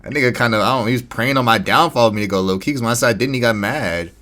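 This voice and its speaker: A man's voice: mid-range voice, racing, pushed and bright. A man in his twenties, co-hosting a casual podcast chat, joking about himself and laughing often. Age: 20s. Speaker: podcast co-host.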